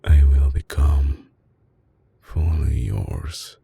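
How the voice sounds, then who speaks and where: A man playing a tender, possessive mafia boss with an Italian accent in an intimate, soft-spoken ASMR roleplay, his voice deep, breathy and husky. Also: slight background noise, heavily acted. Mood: protective, intimate. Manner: slow, hushed, coaxing, with dramatic pauses. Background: music.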